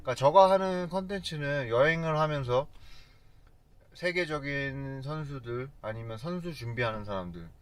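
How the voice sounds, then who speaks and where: A man's voice: mid-range voice, very fast, smooth. A man hosting a fitness vlog, presenting and interviewing casually, a native Korean speaker.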